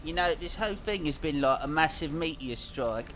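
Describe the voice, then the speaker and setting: A man's voice: on the high side, lively intonation, moderate pace, a bit bright, slight background noise, rough recording. A man playing a dim, earnest young cartoon band singer who rambles hesitantly and naively through a scripted mock interview. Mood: naive, pleased.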